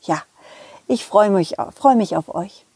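A woman telling a story to children in the first person, with expressive emphasis and direct address to young listeners. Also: mid-range voice, unhurried, vocal fry, breathy edge, no echo.

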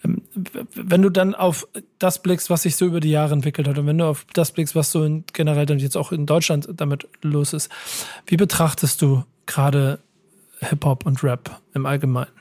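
A man hosting a casual interview, speaking in a thoughtful, halting, wordy way. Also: on the high side, fairly even intonation, dark tone, dry recording. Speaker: interviewer, podcast host.

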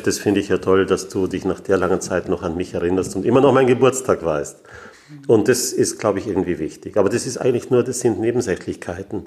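Austrian man in his sixties, lower-pitched voice. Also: a little mellow. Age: 60s. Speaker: interview guest, business owner. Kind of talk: conversational interview answers.

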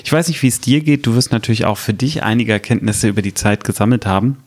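A man's voice: medium pitch, fast, darkish tone. A man in his thirties hosting his own podcast, talking directly to the listener in a reflective, advisory way. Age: 30s. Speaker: podcast host, strategy consultant. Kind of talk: solo podcast monologue.

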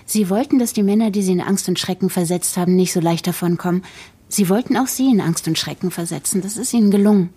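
A woman playing a cool, calculating wife in a German podcast drama, speaking in measured, polite and articulate phrases with practised sincerity. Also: fairly low pitch, warm tone, breathy edge. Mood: solemn, pleading. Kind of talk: German fiction podcast acting.

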